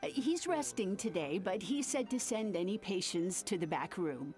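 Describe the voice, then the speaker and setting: Casting: a woman as helpful female clinic attendant for a game, polite, mid-pitched, faint music. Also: expressive, normal speed, darkish tone, close to an everyday voice. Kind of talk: video game voice acting.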